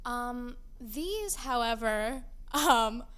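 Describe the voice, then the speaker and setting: Woman co-host with a fairly high voice, playful, dramatic, laughs often, casual gaming talk. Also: expressive, drawn-out words, fairly bright tone, clear, resonant tone. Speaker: podcast co-host.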